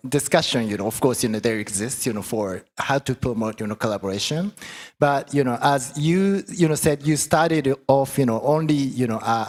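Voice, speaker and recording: Halting male moderator, many fillers. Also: medium pitch. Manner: halting, heavy use of "you know" fillers. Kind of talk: panel discussion questions.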